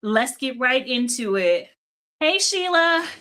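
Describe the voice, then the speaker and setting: Bright-voiced woman hosting a live stream. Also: high-pitched, big pitch swings, takes it slowly. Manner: chatty and encouraging, greets viewers by name, says y'all. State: strained voice, nearly losing it. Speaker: host of a live faith and business show. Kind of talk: casual live-stream chat with viewers.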